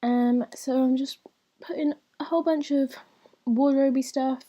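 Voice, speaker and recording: Woman for a casual gaming voiceover, a bit croaky, warm, rambling. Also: high-pitched, fairly even intonation, unhurried, highs cut off. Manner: chatty and rambling, with soft whispery asides. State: slightly croaky, recovering from lost voice. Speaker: gaming channel host. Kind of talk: casual voiceover commentary over gameplay.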